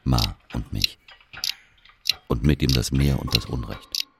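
Man playing grieving brother in a literary drama: very deep, solemn, measured narrator. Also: noisy background. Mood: somber. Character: brooding Algerian man recalling his murdered brother.

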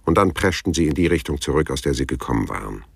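A man's voice: rumbling low, animated, quick pace, gravelly, slightly rough, dry recording, full character voice. An actor playing a laconic, probing private detective who narrates his case tersely and matter-of-factly in a German radio crime drama. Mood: detached narrating. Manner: dry, matter-of-fact, terse.

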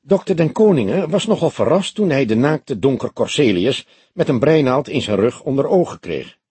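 A man voicing an earnest young police detective in an audiobook, husky and matter-of-fact, with an inquisitive manner. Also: mid-range voice, sing-song, normal speed, dark tone, dry recording, pitched above the performer's own voice. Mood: wry. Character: young male police detective, earnest, slightly teasing sidekick. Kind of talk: acted dialogue in an audiobook.

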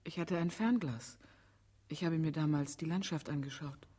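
An actress in a radio drama playing an anxious, reluctant female witness, speaking in a breathy, hesitant, emotional way. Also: low voice, normal speed, dark tone. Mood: timid, subdued. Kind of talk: German radio drama acting.

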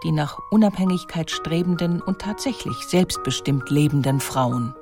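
A woman reading scripted documentary narration in a measured, articulate way. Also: deep voice, animated, music in the background.

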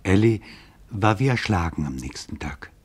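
A man narrating a scripted radio documentary in a sober, measured, formal way. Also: deep voice, animated, slightly rough.

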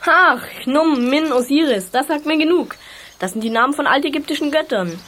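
A woman's voice: sits high, normal speed, a bit bright. A woman playing a bookish young detective in an audio drama, lecturing in a precise, knowing manner. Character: bookish, know-it-all young detective, explaining patiently. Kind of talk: German youth audio drama acting.

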